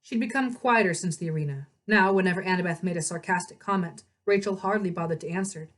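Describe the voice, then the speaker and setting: A woman reading a story aloud as narrator, in an expressive storybook style. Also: on the low side, quick pace.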